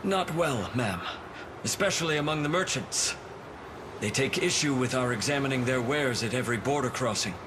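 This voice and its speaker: An actor playing a dutiful military officer in a fantasy game, reporting formally and deferentially. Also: male voice, mid-range voice, expressive, normal speed, noisy background, natural voice. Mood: formal, sober. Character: dutiful male officer reporting to his commander. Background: music.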